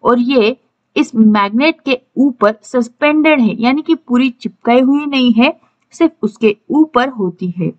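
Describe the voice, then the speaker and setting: A woman's voice: on the high side, animated, a little slow, dull audio. A woman with an Indian accent teaching in an instructional way, reading out points and explaining them step by step. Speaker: electronics teacher. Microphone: voice-over on slides.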